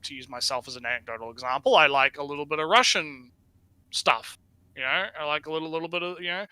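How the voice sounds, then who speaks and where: Bright, crisp-voiced rambling man. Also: a little high, fast, no room sound. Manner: rambling, repeats words, swears casually. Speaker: reaction video host. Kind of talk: casual opinion chat.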